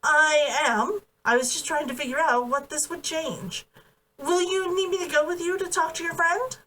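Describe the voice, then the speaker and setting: A woman's voice: high voice, steady tone, normal speed, pushed and bright, slight background noise, voice pushed up. A woman reading the lines of a guarded, protective man in a novel, with a restrained, questioning manner. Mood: curious. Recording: distant from the mic.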